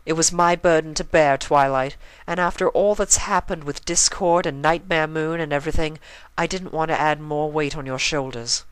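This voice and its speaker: A man's voice: a little high, steady tone, normal speed, fairly bright tone, clear, resonant tone, no room sound, voice pushed up, lightly acted. A man voicing a refined, dramatic, anxious female unicorn with a genteel, earnest manner in a cartoon fan fiction reading. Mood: burdened.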